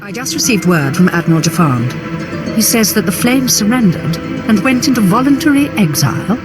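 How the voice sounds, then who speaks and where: A man's voice: high voice, big pitch swings, moderate pace. A man playing a businesslike Imperial agent in a video game, formal and deferential to superiors. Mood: respectful. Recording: very loud music behind voice.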